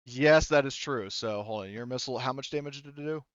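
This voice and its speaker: A man running a tabletop game casually as game master, thinking aloud haltingly as he works through rules and rolls. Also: medium pitch, clean tone, dry recording, lo-fi sound. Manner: halting, thinking aloud while rolling dice.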